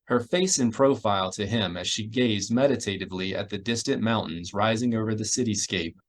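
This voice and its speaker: A man's voice: fairly low pitch, a little fast. A man reading a science fiction novel aloud as scripted narration. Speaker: audiobook narrator. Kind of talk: scripted narration of a sci-fi novel, with character voices.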